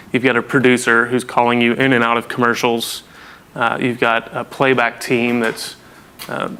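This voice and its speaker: A man's voice: medium pitch, pushed and bright, smooth. A man presenting an informal technical lesson, explaining things in a casual, plain-spoken way. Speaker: presenter of a technical training session.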